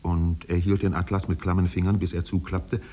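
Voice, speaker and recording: An actor playing a solemn literary storyteller, narrating a 1950s German radio drama in a measured, dramatic manner. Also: male voice, little pitch movement, mellow. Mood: grave, brooding. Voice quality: deep, husky.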